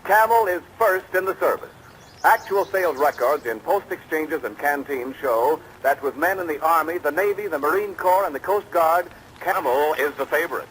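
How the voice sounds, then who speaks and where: A man's voice: fairly high pitch, expressive, moderate pace, a bit bright, a little hiss or hum, poor audio. An American man reading 1940s radio advertising as an announcer, with a polished salesman's delivery. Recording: old radio broadcast, rain overlaid.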